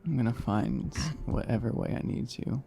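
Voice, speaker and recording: A man's voice: mid-range voice, steady tone, normal speed, gravelly, dark tone, slightly rough. A man playing a tabletop game, improvising his character's actions in casual, irreverent, sardonic chat.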